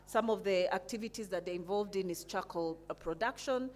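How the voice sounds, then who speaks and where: A woman giving a slide presentation in halting, hesitant phrases. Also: medium pitch, darkish tone. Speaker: conference presenter. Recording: clear background noise and hum.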